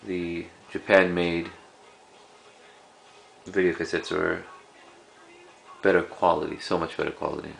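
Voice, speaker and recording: A man giving a casual, unscripted show-and-tell of a collection in a halting, rambling way. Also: low-pitched, steady tone, creaky, slight background noise, low-quality recording. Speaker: cassette collector presenting a collection.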